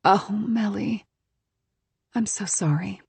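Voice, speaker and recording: A woman voicing a caring, reassuring woman who speaks gently and solicitously in an audiobook story. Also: mid-range voice, takes it slowly, vocal fry, slightly rough, dry recording, full character voice. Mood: concerned. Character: caring, reassuring woman host, motherly toward guest.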